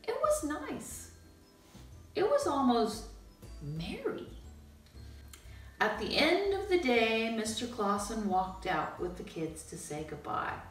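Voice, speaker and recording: Female storybook narrator, high and unhurried, expressive with dramatic pauses, recorded in a very echoey space over music. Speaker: storybook reader. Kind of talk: animated children's read-aloud narration. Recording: roomy, distant mic, music bed.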